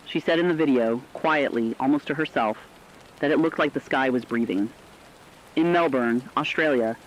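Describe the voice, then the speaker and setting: A man's voice: medium pitch, muffled recording, close to an everyday voice. A man playing a solemn, reflective radio correspondent who tells human stories of disaster in a scripted, personal narrative style.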